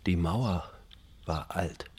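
A man's voice: sits low, extremely slow, background noise. An actor playing a brooding, intense male narrator of a prisoner's inner life in a literary radio drama. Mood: brooding, hushed.

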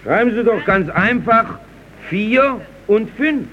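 An actor playing a polite but exasperated neighbour who instructs emphatically in a 1930s German radio comedy. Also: male voice, high-pitched, animated, takes it slowly. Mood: polite, instructive. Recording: dull, noisy 1930s radio recording.

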